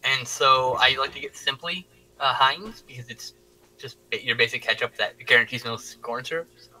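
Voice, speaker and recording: A man's voice: medium pitch, moderate pace, pushed and bright, low-quality recording. A man who co-hosts a geek chat, talking casually and jokily, swearing freely as he explains things. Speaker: co-host of a weekly geek chat show. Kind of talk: casual joking group chat.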